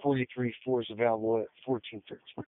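Man, mid-pitched voice, clipped procedural replies, emergency two-way radio, thin phone-like sound. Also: flat delivery, fast talker, a little hiss or hum. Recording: tinny narrowband fire radio transmission.

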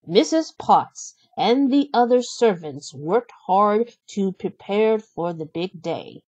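Slow-paced woman reading a storybook. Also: medium pitch, animated, darkish tone, no echo, lo-fi sound. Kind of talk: read-aloud children's storybook narration.

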